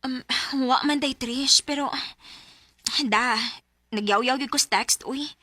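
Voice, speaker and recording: A woman's voice: high voice, takes it slowly, bright, forceful tone, no echo, studio quality, full character voice. An actress playing a young student, a devoted and needy girlfriend and daughter, in a Bisaya radio drama with a Cebuano Filipino accent. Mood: upset, complaining. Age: young adult.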